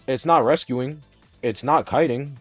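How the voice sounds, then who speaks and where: A man's voice: mid-range voice, a little slow, husky, no echo, muffled recording, faint music behind. A young man from the Dominican Republic hosts a stream and argues hard about a game, swearing often and getting more and more exasperated. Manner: argumentative, swears a lot, rising exasperation. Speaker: streamer host. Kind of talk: heated casual gaming debate.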